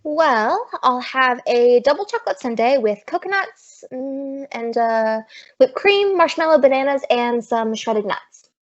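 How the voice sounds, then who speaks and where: A dull, lo-fi radio comedy recording carries a wisecracking, down-on-her-luck American showgirl, played by a woman. Her voice sits fairly high, and she tosses off slangy lines in a breezy deadpan, in 1940s style. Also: unhurried. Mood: sassy. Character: wisecracking, street-smart, broke American showgirl Maisie.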